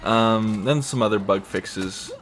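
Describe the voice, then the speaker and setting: A young man with a scratchy throat rambling casually while he plays a video game. He talks with lots of fillers and casual swearing, in a deep, fairly monotone voice. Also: slow pace. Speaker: gamer commenting while playing. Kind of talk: casual gameplay commentary.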